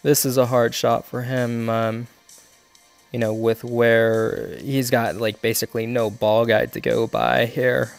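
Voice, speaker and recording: A man's voice: medium pitch, flat delivery, a little slow, creaky, faint music behind. A man streaming a mobile golf game, explaining each of his shots step by step with many fillers while congested and coughing now and then. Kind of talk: live gameplay commentary.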